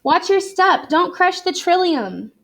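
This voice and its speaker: A woman voicing an eager, curious schoolchild on a nature hike in a children's storybook, animated and full of wonder. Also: very high voice, unhurried, voice pushed up, heavily acted. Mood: excited.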